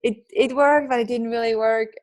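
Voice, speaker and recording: A woman's voice: on the high side, steady tone, a little mellow. Woman with a strong Swiss accent. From Switzerland. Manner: open, storytelling, quick bursts with restarts. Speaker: guest, entrepreneur and author. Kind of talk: podcast interview.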